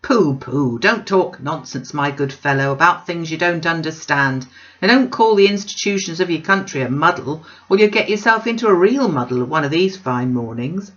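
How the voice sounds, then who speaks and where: A woman's voice: low voice, lively intonation, moderate pace, a bit bright, muffled recording. A woman voicing a pompous, self-satisfied wealthy man, emphatic and dismissive, in a storybook reading.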